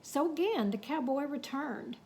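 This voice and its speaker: A woman reading a children's story aloud in an expressive, folksy, dramatic way with chatty asides. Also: high-pitched, measured pace.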